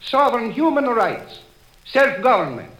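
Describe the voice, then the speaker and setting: A man's voice: very high-pitched, sing-song, unhurried, a bit bright, heavily acted, low-quality recording. An actor playing an earnest Syrian immigrant man in a 1930s radio drama, speaking with an Arabic accent in a solemn, declamatory way. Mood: proud. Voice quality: heavy put-on accent, solemn declamatory delivery.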